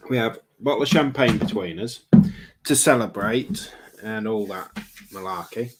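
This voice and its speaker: A man's voice: lowish voice, normal speed, heavy background noise. A man, co-hosting a livestream with jokey, teasing banter. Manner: joking, teasing. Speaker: livestream co-host. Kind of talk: casual banter.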